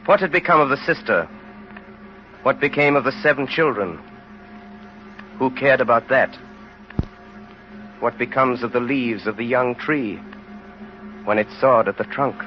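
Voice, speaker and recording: An actor as the grave narrator of a tragic tale, solemn and measured in a dramatic, rhetorical radio style. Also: male voice, mid-range voice, lightly acted. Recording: noisy, dull old radio recording.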